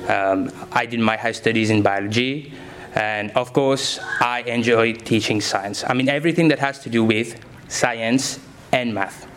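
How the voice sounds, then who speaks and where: A man's voice: medium pitch, a little slow, a bit bright, slight background noise. A young man, answering interview questions as a science teacher in simple, enthusiastic phrases.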